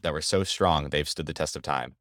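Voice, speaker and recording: A male host with an extremely deep voice speaks earnestly and stresses his points. He sounds close and dry. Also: a little fast, fairly bright tone, no room sound. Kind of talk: interview conversation.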